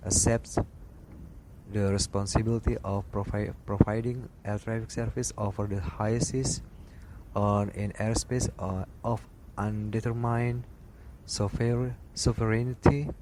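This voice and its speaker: Slow halting man reading notes aloud. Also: lowish voice, mellow, a little hiss or hum, low-quality recording. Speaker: aviation instructor. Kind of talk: reading study material aloud.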